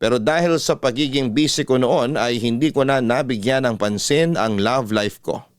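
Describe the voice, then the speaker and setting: A man's voice: medium pitch, normal speed, clean tone. A man with a Filipino accent reading letters as a radio host, in an earnest, sentimental classic storytelling style. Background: soft music.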